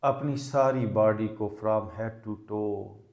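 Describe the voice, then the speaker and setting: A man leading a guided meditation as a yoga teacher, deliberate and repetitive, with long pauses between phrases. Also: on the low side, steady tone, a little mellow. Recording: roomy, echoing sound.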